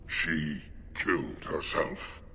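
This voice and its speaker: An actor playing a savage, tormented werewolf pack leader in a fantasy video game, with a snarling, intense voice. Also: male voice, very deep voice, creaky, pushed and bright, a little hiss or hum, exaggerated voice. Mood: bitter grief. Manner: slow, brooding, intense.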